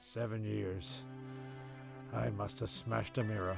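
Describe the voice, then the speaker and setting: Gravelly male voice, cranky comedy radio play narrator, acted by a man, self-pitying, sweary, stammering, indignant. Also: lowish voice, little pitch movement, slow, loud music behind the voice, exaggerated voice. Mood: indignant, wounded.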